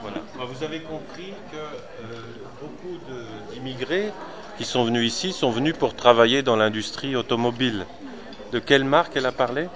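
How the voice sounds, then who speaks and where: A man's voice: mid-range voice, brisk, fairly bright tone. A man explaining to a class and prompting them with questions. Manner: quizzing listeners, prompting answers.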